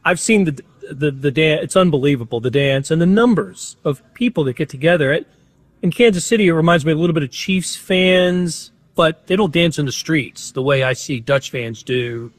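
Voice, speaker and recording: A man interviewing a guest, asking curious questions with halting restarts. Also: on the high side, animated, dry recording.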